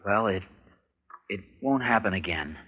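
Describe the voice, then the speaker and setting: Strained young husband, thin vintage sound. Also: male voice, on the low side, lively intonation, a little slow, breathy edge. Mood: resigned, strained. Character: struggling young husband, protective, resentful, worn down. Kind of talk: 1940s radio drama acting. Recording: narrow-band vintage radio recording.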